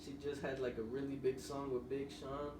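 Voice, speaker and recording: A man's voice: mid-range voice, level tone, normal speed. Young guy musician just rambling on a podcast, sounds far off the mic, noisy echoey room. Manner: loose, rambling storytelling. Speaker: guest musician. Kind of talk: casual podcast interview. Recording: roomy, distant mic with noise.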